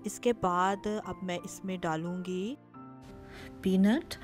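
A woman's voice: on the low side, takes it slowly. A woman narrating her cooking and dinner-outing vlog in a chatty, explanatory way, with frequent filler sounds. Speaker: lifestyle vlogger. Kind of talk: casual recipe and travel vlog voice-over. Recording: clear music bed behind voice.

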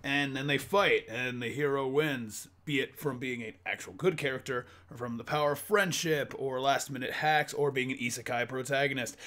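A man in his twenties talking casually to camera in a rambling, animated monologue at home. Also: medium pitch, normal speed, hardly any pauses. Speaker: vlogger. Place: small room at home, indoors.

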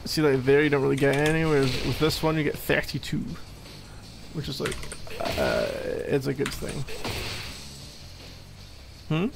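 Man playing a flustered gamer boyfriend, gravelly voice. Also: fairly high pitch, animated, music in the background. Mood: casual explaining. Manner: halting, teasing, mumbly asides while playing a game. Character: needy young gamer boyfriend, flustered, playfully exasperated. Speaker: ASMR roleplay performer. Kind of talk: ASMR boyfriend roleplay, improvised-sounding casual chat.

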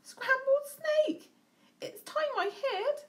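A woman's voice: very high voice, extremely slow, pitched above the performer's own voice, heavily acted. A woman voicing a sly, coaxing snake who frightens easily, in a children's storybook. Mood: alarmed.

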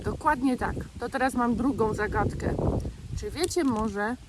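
A woman's voice: fairly high pitch, a little slow, very noisy. A woman hosting a children's show, speaking playfully and directly to young listeners in simple words. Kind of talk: playful scripted chat for children.

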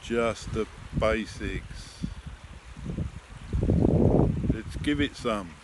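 Wry older man, windy phone mic. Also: fairly low pitch. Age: 60s. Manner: wry, self-mocking, unhurried asides. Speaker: angler vlogger. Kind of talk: casual chat to camera. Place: outdoors by a sea wall on an estuary shore. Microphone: phone camera held by the speaker. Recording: loud wind noise on the phone mic outdoors.

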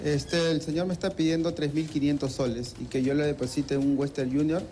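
A man's voice: a little high, steady tone, a little fast, mellow, slight background noise. A Peruvian man in his thirties, interviewed outdoors on a street into a handheld mic, speaking hesitantly with fillers. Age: 30s. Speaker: crime victim interviewee. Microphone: reporter's handheld mic.

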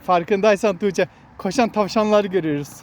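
A man's voice: high-pitched, normal speed. Male vlog host, fatherly explainer, chatting casually on an outdoor walk, light ambient noise. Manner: explanatory, addresses child and viewers. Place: outdoors on a walking path. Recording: noisy outdoor recording with traffic hum.

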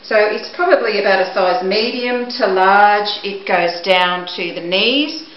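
A middle-aged woman presenting items for auction in a measured, matter-of-fact way, speaking to a camera a few steps away indoors. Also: medium pitch. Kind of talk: product showcase, descriptive talk. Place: indoors, living or dining room at home. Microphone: camera microphone a few steps away. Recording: echoey room, dull muffled sound, background hiss.

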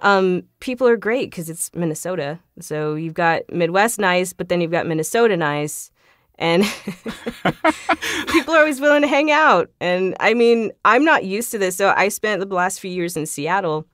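A woman chatting casually as an interview guest, talkative and quick to laugh. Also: on the low side, animated, fairly bright tone, dry recording, studio quality. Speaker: guest, voice actor. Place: closet home studio, dry sound. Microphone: shotgun studio microphone.

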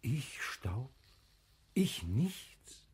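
An actor playing a proud, magnanimous, impulsive sultan in a classical radio drama, with a husky, commanding, emotional delivery. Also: male voice, mid-range voice, sing-song, extremely slow, exaggerated voice. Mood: moved, humbled. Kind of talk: classical German stage radio drama acting.

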